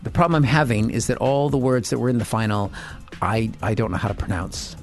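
A man's voice: mid-range voice, fairly quick, over music. A man co-hosting a radio show, playful and chatty, stumbling over hard words. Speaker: radio co-host. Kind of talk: casual banter with mock news reading.

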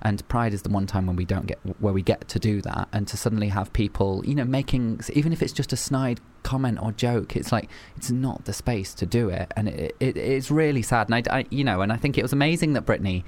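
A man talks quickly in a casual podcast conversation, his voice slightly low. He sounds eager, readily agreeing and jumping back in. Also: darkish tone. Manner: eager, agreeable, fast and restless delivery. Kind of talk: casual chat.

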